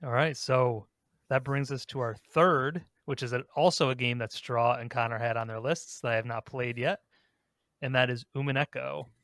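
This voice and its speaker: Guy hosting a list podcast, medium pitch, chatty, no room sound. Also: fairly even intonation, moderate pace, a bit bright, dry recording, clean studio sound. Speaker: podcast host leading a ranked countdown. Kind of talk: casual chat.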